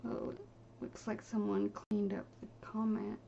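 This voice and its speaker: A woman vlogger talking casually and haltingly as she reads through viewer comments, trailing off between short phrases. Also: medium pitch, monotone, vocal fry, mellow, dull audio, slight background noise. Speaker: video creator.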